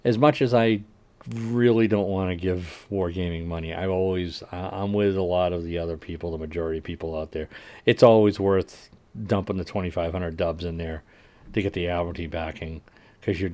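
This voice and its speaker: A man hosting a livestream, casually reading game update notes aloud and adding wry asides that trail off quietly. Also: low voice, steady tone, a little fast. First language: English. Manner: frequent fillers and restarts while working out numbers. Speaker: livestream host.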